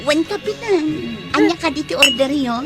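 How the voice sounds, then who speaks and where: A young, scheming bar waitress with a high, lively, coaxing voice. She lilts along in radio comedy style while music plays clearly behind her. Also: moderate pace. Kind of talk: comedy radio drama acting.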